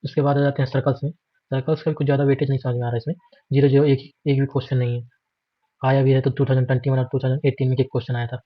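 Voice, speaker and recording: A man's voice: mid-range voice, level tone, very fast, no echo, muffled recording. An Indian man giving an informal, matter-of-fact exam prep walkthrough, listing items repetitively and mixing in English terms. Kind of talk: informal screen walkthrough lesson.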